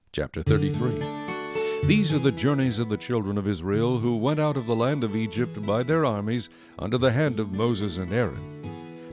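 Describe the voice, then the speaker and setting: A man's voice: lowish voice, darkish tone, no echo. Solemn male Bible narrator, dry over music. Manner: solemn, measured storytelling. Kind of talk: scripted dramatic Bible narration.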